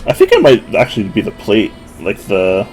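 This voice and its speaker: Young guy streaming from his desk, lowish voice, laid back and rambly, quiet music on in the background. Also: normal speed, rough recording. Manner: relaxed, rambling, self-deprecating, answers chat. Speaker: streamer building a keyboard. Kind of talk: casual live-stream chat. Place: home desk. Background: music playing.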